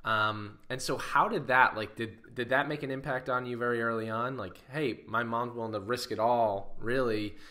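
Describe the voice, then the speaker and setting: A man's voice: medium pitch, steady tone, normal speed, few pauses, sharp tone. A man hosting a podcast, introducing his guest and asking questions in an enthusiastic, friendly way, often saying "like". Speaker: podcast host. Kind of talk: casual podcast interview.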